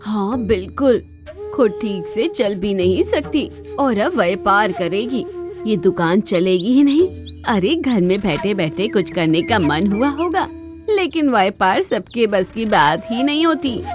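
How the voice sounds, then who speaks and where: A woman's voice: mid-range voice, animated, unhurried, music in the background, close to an everyday voice. A woman voicing a scornful village gossip in a cartoon story, mocking and disdainful. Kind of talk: cartoon moral story voice acting.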